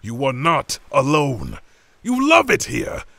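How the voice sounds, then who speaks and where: Burdened god, exasperated, defensive, sharp husky voice, volatile delivery, audio drama. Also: male voice, medium pitch, wildly swooping pitch, takes it slowly, no echo, exaggerated voice. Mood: exasperated, pleading. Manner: volatile, from pleading outbursts to hushed muttering.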